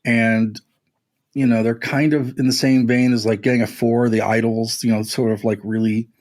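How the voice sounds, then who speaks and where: A man's voice: mid-range voice, flat delivery, moderate pace, darkish tone. A man talking casually and at length as a music podcast panelist, with an enthusiastic, discursive manner.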